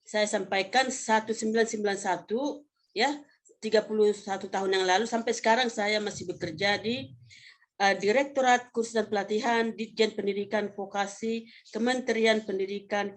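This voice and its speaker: A woman in her fifties from North Sumatra, Indonesia, presenting slides informally as a professor, in a conversational, halting way with self-corrections. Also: medium pitch, fairly even intonation. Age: 50s. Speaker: vocational education professor presenting a webinar.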